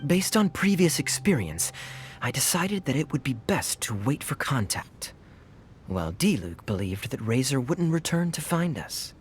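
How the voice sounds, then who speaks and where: A man's voice: medium pitch, sing-song, normal speed, natural voice. A man voicing a suave, sly young knight captain in game cutscenes, with a wry, teasing way of talking. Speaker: game character. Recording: game audio with faint music.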